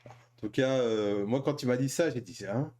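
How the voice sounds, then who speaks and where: A man's voice: medium pitch, normal speed, dark tone. A man hosting a casual group chat show, informal and teasing with the others. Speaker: host of an anime and tokusatsu chronicle show.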